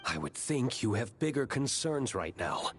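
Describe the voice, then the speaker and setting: A man voicing a stern, terse young man in a video game, with a cool, deadpan delivery. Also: medium pitch, normal speed, soft background music. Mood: threatening. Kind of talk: video game character acting.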